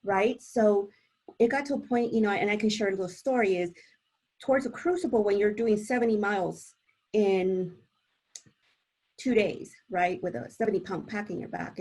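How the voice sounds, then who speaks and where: A woman's voice: mid-range voice, normal speed, a little mellow, husky. A woman from El Salvador, a native Spanish speaker, talking informally as a panelist and sharing personal experience. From born in El Salvador.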